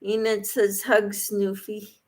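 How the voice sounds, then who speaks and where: Slow-paced woman hosting a casual livestream. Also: medium pitch, monotone. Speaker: livestream host. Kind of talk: casual livestream chat, reading viewers' comments aloud and replying.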